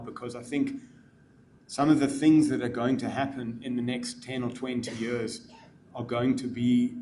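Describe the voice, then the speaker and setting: A middle-aged man with a South African accent giving measured welcome remarks at a symposium, with frequent ums. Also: medium pitch, warm tone, a little hiss or hum. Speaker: conference speaker, program manager.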